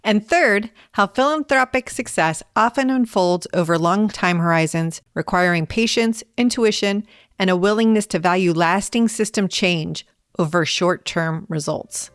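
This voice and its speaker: A woman co-hosting a podcast, reading an episode preview in a measured, clear way. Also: medium pitch, no echo, studio quality. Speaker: podcast co-host.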